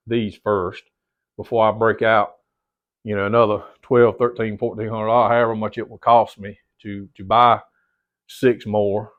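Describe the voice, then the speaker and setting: A middle-aged man, a little stuffy-nosed, chatting casually to camera in his home theater room and rambling, repeating words and calling his viewers "guys". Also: mid-range voice. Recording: dull lo-fi sound.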